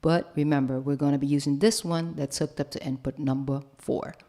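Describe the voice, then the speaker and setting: A woman with a slightly low voice teaches a software tutorial. She explains each step in a casual, instructional way and often pauses to check that the listener follows. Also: fairly even intonation. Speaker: host and music production instructor. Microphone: broadcast microphone.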